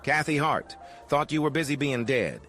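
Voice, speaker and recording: Actor playing a swinging, sardonic noir private eye. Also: male voice, on the high side, animated, moderate pace, fairly bright tone, soft background music. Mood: accusing, cynical. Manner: clipped, sardonic, confrontational. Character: hard-boiled 1940s private eye, tough, cynical man. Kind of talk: 1940s noir radio drama acting.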